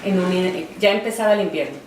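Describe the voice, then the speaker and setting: A Colombian woman in her forties, an artist reflecting in an oral history interview with a halting, filler-heavy delivery. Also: on the low side, darkish tone. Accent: Colombian Spanish. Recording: roomy, distant mic, faint noise.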